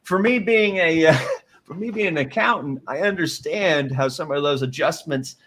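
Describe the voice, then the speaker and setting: A man's voice: fairly high pitch, normal speed, noisy background. A man hosting a casual online talk, upbeat and rambling, with frequent restarts. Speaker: host.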